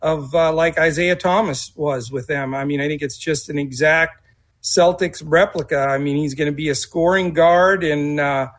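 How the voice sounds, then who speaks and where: A man's voice: a little high, steady tone, normal speed, a bit bright. A man giving casual basketball analysis on a podcast, sounding confident and using many "you know"s and "I mean"s.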